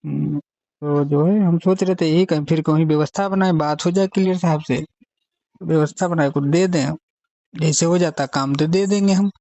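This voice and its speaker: A man's voice: a little high, steady tone, moderate pace, warm tone, dry recording, lo-fi sound. A man with an Uttar Pradesh Hindi accent, talking casually and agreeably in a recorded phone conversation. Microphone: phone call.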